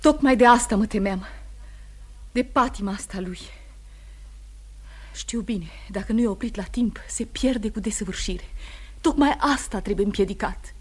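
An actress playing a wounded, anxious young wife in a radio play, breathy and often hushed, earnest and halting. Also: female voice, medium pitch, natural voice. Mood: urgent, fearful. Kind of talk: Romanian radio theatre acting.

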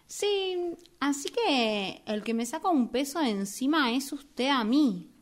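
Woman acting high, slow-spoken Argentine therapy patient. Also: lively intonation. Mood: hesitant confession. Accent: Argentine Rioplatense. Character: earnest, talkative female long-time therapy patient. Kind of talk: amateur radio theatre acting.

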